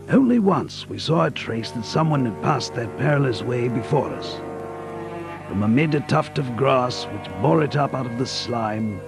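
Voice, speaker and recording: An actor playing an earnest gentleman companion who narrates a mystery in an audio drama, grave and suspenseful. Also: medium pitch, creaky. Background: music.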